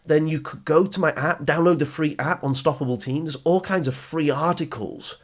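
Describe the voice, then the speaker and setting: British man, motivational podcast host. Higher-than-average pitch, rough-edged voice, emphatic teaching delivery with repeated key phrases. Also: moderate pace. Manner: emphatic, explanatory, with rhetorical questions and repetition. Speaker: podcast host and study skills coach. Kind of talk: motivational advice podcast, addressing listeners directly.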